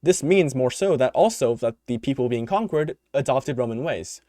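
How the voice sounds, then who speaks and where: A man's voice: medium pitch, lively intonation, moderate pace, dark tone, dry recording. A young man hosting a solo podcast, giving his opinions in a rambling way full of fillers and restarts, his voice growing tired. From United States. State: voice tiring. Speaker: podcast host. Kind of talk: unscripted solo commentary.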